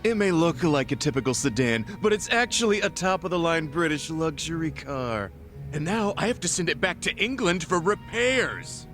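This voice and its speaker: Male swindler, game cutscene; higher register, pushy bluster, haughty manner, prominent backing music. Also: expressive, normal speed, a bit bright. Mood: arrogant, demanding. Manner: brusque, condescending, blustering. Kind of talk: video game cutscene dialogue. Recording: music playing behind dialogue.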